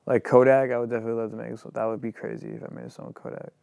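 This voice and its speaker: A man's voice: mid-range voice, little pitch movement, very fast, creaky, a little mellow, dry recording, clean studio sound. A young man, a rapper and singer, talking casually in an interview, rambling and filling his sentences with "like". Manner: loose and rambling, heavy on "like".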